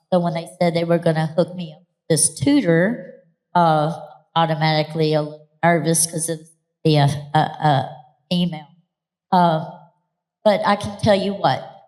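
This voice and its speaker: A woman giving an earnest, plainspoken personal testimony at an event, with a few fillers. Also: lowish voice. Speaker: adult learner and college student. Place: event hall. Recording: long hall reverb.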